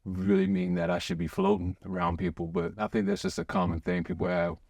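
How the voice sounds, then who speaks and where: A man hosting a podcast in an excitable, rambling way, full of restarts and fillers. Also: deep voice, fairly quick, gravelly, dry recording. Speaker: podcast host. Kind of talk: casual chat.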